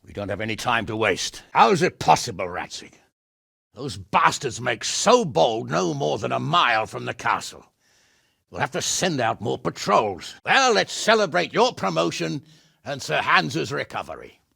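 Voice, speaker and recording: A gruff middle-aged lord from a medieval video game. His voice is raspy and forceful, swinging widely in pitch. He gives orders bluntly, with a short temper and a sardonic, sweary edge. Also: sing-song, moderate pace, no room sound, clean studio sound, exaggerated voice. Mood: stern, irritable. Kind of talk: video game character acting.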